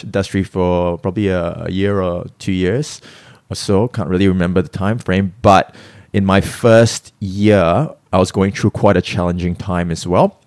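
A man's voice: low voice, dry recording, studio quality. A man in his thirties from Singapore, a guest talking casually in a hotel room, rambling and correcting himself, laughing now and then. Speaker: guest, personal trainer. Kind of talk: casual interview talk.

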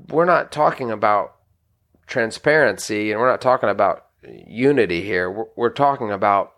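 Pastor, male, mid-pitched gravelly voice, earnest and chatty, trips over his words. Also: a bit bright. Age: adult, married. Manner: earnest, conversational, frequent restarts and "you know". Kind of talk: devotional podcast teaching.

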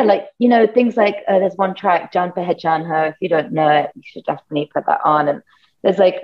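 A woman's voice: sits low, dull audio. A woman with a British accent, a guest musician chatting casually and enthusiastically in an interview, rambling with lots of "like" fillers.

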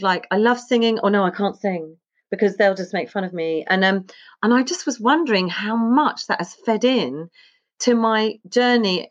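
A talkative woman in her fifties tells her story as a podcast guest, rambling warmly from one thought to the next with the odd laugh. Her voice sits at a middling pitch. The recording sounds a little dull and muffled. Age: 50s. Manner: chatty, rambling, laughs often. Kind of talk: casual interview chat.

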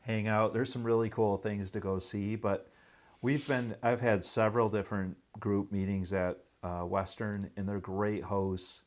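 A man's voice: fairly low pitch, level tone, measured pace, a little mellow. A man hosting a podcast, promoting industry events in a casual, enthusiastic and chatty way.

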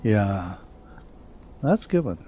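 A middle-aged man hosting a podcast, casually telling spooky stories with halting, repeated starts. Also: on the low side, big pitch swings, dark tone, breathy edge. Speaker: podcast host and storyteller.

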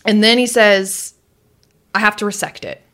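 Female co-host, medium pitch, casual and wry commentator, animated storyteller who drops in skeptical questions. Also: normal speed. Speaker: podcast co-host. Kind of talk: casual conversational recap and commentary.

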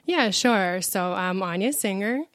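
A woman's voice: mid-range voice, animated, a little slow, no room sound, clean studio sound. A woman in her thirties, born and raised in Russia, chatting as a podcast guest and explaining things with examples. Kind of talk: casual podcast interview.

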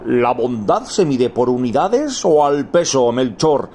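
A man's voice: mid-range voice, sing-song, takes it slowly, darkish tone, a little hiss or hum. An actor playing a placid, simple-minded Wise Man king in a comic radio play, musing with innocent earnestness. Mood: naive musing.

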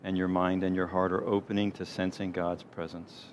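Low-voiced man leading a gentle guided meditation. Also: little pitch movement. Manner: gentle, unhurried, soothing delivery with pauses. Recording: clear background noise, low rumble.

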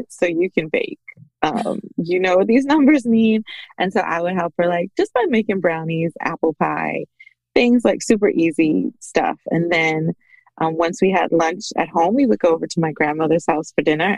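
A woman with a somewhat low voice and noticeable vocal fry chats as a podcast guest. She tells her own story in a conversational way, livening up when she quotes others. The recording is dry and close. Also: expressive, moderate pace, a little mellow, no room sound, rough recording. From Bahamas. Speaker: podcast guest, small business owner. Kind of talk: conversational personal storytelling in an interview.

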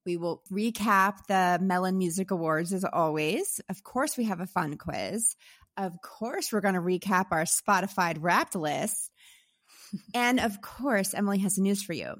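Woman, mid pitch, dry recording, upbeat co-host, slight cold. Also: animated, unhurried, fairly bright tone, no room sound. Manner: upbeat, runs down the episode rundown. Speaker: podcast co-host. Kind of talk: casual podcast chat. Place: New York.

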